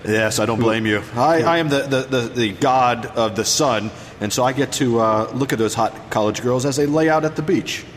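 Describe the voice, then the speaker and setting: A man's voice: medium pitch, moderate pace, hardly any pauses, natural voice. A man playing a self-important yet casual Egyptian sun god in an improvised comedy skit, chatty and a little hesitant. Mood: sheepish, chatty. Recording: noisy, reverberant room recording.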